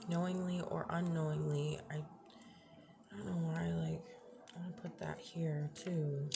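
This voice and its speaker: A young woman with a slightly raspy voice doing a casual tarot reading, thinking aloud, trailing off and restarting her sentences. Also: sits low. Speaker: tarot reader. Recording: audible background noise.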